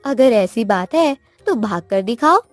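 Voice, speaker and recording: A woman's voice: a little high, expressive, measured pace, dry recording. A woman voicing a clever, bold young wife in a cartoon moral tale, speaking in a taunting, coaxing manner. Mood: defiant, taunting. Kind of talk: cartoon moral story acting. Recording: music behind the voice.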